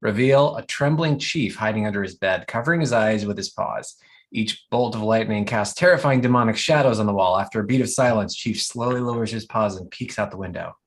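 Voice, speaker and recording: A man's voice: fairly low pitch, brisk. A man narrating stage directions at a comedy table read.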